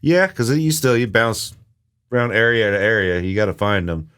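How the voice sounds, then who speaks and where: A man's voice: lowish voice, normal speed, a bit bright, clean tone. A man co-hosting a casual video game chat in a laid-back, terse and sweary way. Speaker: podcast co-host.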